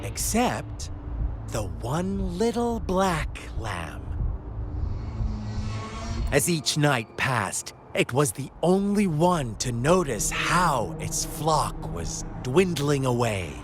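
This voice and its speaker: A man playing a sly, unhinged game villain who tells a dark tale in a theatrical, taunting, drawn-out way. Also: on the high side, very animated, music in the background, full character voice. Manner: theatrical, taunting, drawn-out delivery with sudden swoops. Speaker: villain character in a video game. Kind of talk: dramatic storytelling monologue in a game.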